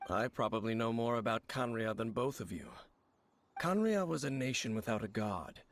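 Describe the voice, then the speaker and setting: A man playing a mysterious, world-weary warrior in a video game, speaking in a formal, grave and deliberate manner. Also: mid-range voice, lively intonation, a little hiss or hum, lightly acted. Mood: solemn, reverent. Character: solemn, mysterious, world-weary wandering warrior, adult male. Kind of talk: measured dramatic video game dialogue.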